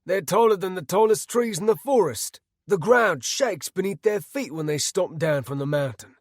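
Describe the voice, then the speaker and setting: A gruff, no-nonsense village leader barking plain, salty words, as a man puts him on. His voice rides higher and louder than the narrator's, with plenty of rise and fall. Dry, close sound. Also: expressive, moderate pace, no echo. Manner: blunt, forceful, salty. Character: gruff middle-aged male village leader.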